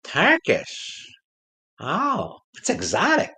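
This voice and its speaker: A disgruntled old-pro ventriloquist dummy in a comic audio play. His voice is sharp and forceful and leaps up and down as he gripes. Every complaint comes out sardonic and emphatic. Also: high-pitched, wildly swooping pitch, drawn-out words, exaggerated voice. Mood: wry. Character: disgruntled, wisecracking wooden male ventriloquist dummy, aging showbiz veteran.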